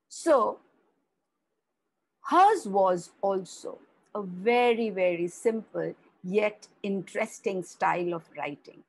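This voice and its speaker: A woman narrating a children's story slowly, explaining as she goes and leaning on key words. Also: medium pitch, poor audio. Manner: slow, explaining, with rising emphasis on key words.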